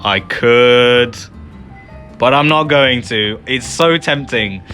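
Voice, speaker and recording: A man's voice: mid-range voice, slow pace, sharp tone. A man giving casual, self-deprecating commentary as he plays through a video game. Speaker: gamer, channel host. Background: game music.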